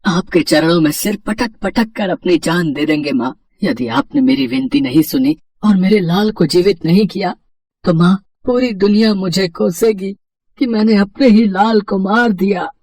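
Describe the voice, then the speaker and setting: A man acts out a humble potter, devoted husband and father, in a storybook tale. His voice is husky and emotional, with a reverent, pleading way of speaking. It sits a little away from the mic in a dry space. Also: high voice, moderate pace, darkish tone, dry recording, recorded from a distance. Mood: anguished, pleading. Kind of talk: acted dialogue in a Hindi moral story.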